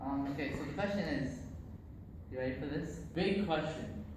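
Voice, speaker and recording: A man's voice: a little high, moderate pace, close to an everyday voice. A young man playing a casual interviewer on a mock talk show in an amateur school skit, with lots of likes and ums. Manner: casual, filler-heavy with many likes. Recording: far-off echoey room with noise.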